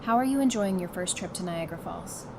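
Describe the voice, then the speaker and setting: An actress playing a frank, determined and playful psychiatrist surviving the apocalypse, talking informally in an audio drama. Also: female voice, fairly low pitch, animated, fairly quick. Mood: uneasy, candid. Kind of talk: fan audio drama acting. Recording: clearly noisy, with ambience behind.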